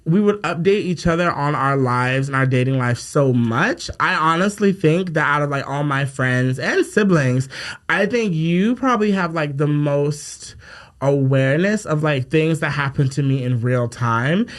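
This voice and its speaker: A young man with a fairly high voice hosts a casual podcast conversation. He is animated and playful, speaking in loose, slangy language as he tells stories. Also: a little slow. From Japan. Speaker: podcast host. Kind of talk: casual chat.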